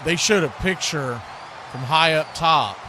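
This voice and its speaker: A man's voice: a little high, animated, measured pace. A man hosting live sports commentary in a casual, folksy way, chatting to viewers as a play-by-play announcer. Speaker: play-by-play announcer and livestream host. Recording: clearly noisy live stream with background ambience.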